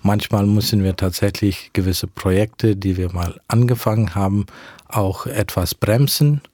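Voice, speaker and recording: A man's voice: lowish voice, darkish tone, dry recording, clean studio sound. A young man whose first language is English, answering interview questions about mission work in careful, hesitant speech. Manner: earnest, measured, with frequent hesitations. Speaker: mission worker.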